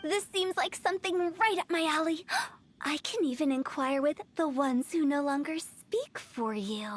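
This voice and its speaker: A woman's voice: sits high, a little slow. A woman voicing a quirky, playful teenage girl funeral director in a video game, glib and theatrical with a morbid cheer. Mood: scheming, chirpy. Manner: theatrical, glib sales patter. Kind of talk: animated video game voice acting. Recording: game music behind the voice.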